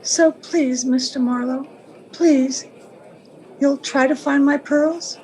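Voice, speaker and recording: Noir client, played by a woman: high voice, very slow halting delivery, wistful, lovelorn radio melodrama. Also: fairly even intonation, dark tone, poor audio. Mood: pleading. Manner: halting, breaking phrases, earnest. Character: wistful, sentimental married woman, pleading and lovelorn. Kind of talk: old-time radio melodrama acting.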